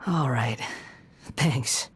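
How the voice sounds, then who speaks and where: An actor playing a soft-spoken, guarded young male wanderer in a video game, subdued and terse. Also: mid-range voice, very slow, slight background noise. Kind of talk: video game character voice acting.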